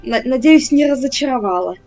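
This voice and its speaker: A woman's voice: high-pitched, expressive, fairly quick, darkish tone, soft background music, rough recording. A woman running a tabletop game, giving casual, hesitant feedback over an online voice chat and often repeating words as she talks. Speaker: game master.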